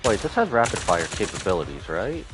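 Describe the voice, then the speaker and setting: A man's voice: sits low, sing-song, a little fast, background noise. A man giving casual live gameplay commentary as a streamer, informally thinking aloud. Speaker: video game streamer. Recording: game music behind. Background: video game music.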